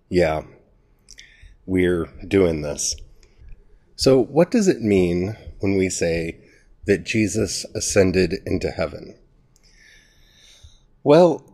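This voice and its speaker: A man with a cold gives a casual Bible study, his voice gravelly and stuffed-up. He talks slowly and earnestly, and his voice sits very low. He thinks aloud as he goes. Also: a little mellow. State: has a cold, gravelly and nasal. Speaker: writer teaching a Bible study series. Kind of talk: casual spoken teaching.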